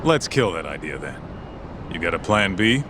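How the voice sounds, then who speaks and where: An actor playing a streetwise, sarcastic but cautious sidekick in a video game, bantering in slangy, skeptical style. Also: male voice, deep voice, big pitch swings, normal speed, noisy background. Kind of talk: English game dub acting.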